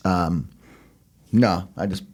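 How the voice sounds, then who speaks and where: A man co-hosting a podcast, explaining things in a casual, loose and halting way. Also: sits low, a little mellow. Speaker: podcast co-host.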